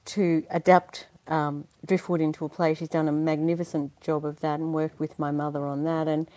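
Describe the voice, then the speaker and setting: Low, mellow-voiced woman. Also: level tone, moderate pace, no echo. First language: Dutch. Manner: chatty and earnest, laughs easily, many fillers. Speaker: interview guest, performer. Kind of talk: conversational interview.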